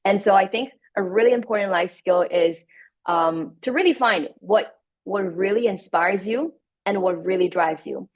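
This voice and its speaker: A woman's voice: medium pitch, normal speed. A young woman from Hungary speaking as a student panelist, enthusiastic and full of hedging fillers. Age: young adult. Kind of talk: panel talk and self-introduction. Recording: dull, muffled lo-fi audio.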